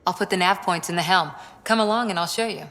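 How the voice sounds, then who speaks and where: Earnest crew officer in reverb. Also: female voice, fairly low pitch, fast, fairly bright tone. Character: earnest, determined female spaceship crew officer. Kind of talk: video game dialogue.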